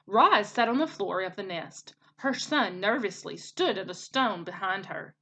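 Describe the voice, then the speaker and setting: A woman's voice: medium pitch, animated, measured pace, pushed and bright. A woman narrating a children's storybook expressively, like a teacher reading aloud.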